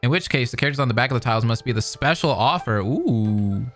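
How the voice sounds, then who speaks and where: A man's voice: medium pitch, lively intonation, fast talker, a bit bright. Sarcastic man reacting to a game. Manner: sarcastic asides, laughs along, reads game text aloud. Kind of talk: casual reaction commentary. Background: game music.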